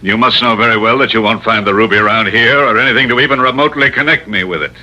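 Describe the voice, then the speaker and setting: A low, haughty radio-drama villain. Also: male voice, fast talker, pushed and bright, poor audio. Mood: coolly scornful. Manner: pompous, precise, formal diction. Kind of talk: old-time radio drama acting.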